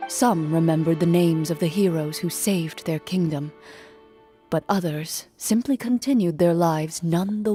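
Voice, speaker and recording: A woman voicing a composed, courteous video game heroine who speaks in a measured, formal way. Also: fairly low pitch, mellow, close to an everyday voice. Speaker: game character telling a story. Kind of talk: scripted video game dialogue and narration.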